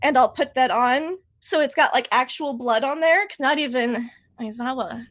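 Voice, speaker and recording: A woman's voice: high-pitched, normal speed, a bit bright. A woman chatting casually and playfully as a player in a tabletop roleplaying game.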